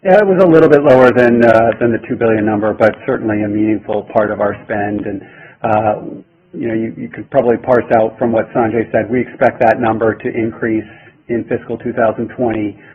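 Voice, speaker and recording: A man speaking as a finance executive, answering analysts in a hesitant, measured way on a lo-fi call. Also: lowish voice, fairly even intonation, slightly rough. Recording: dull lo-fi call audio.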